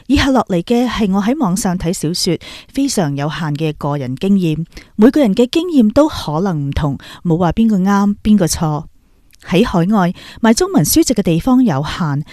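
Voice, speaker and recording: A woman's voice: lowish voice, mellow, no echo, clean studio sound. A woman podcast host with a Hong Kong Cantonese accent, giving scripted spoken commentary.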